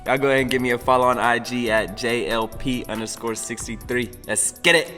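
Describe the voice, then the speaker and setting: A man's voice: mid-range voice, fairly even intonation, fairly quick, pushed and bright, big-room sound, soft background music. Blunt man co-hosting a podcast. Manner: blunt, laughs often. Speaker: podcast co-host. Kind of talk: casual podcast chat.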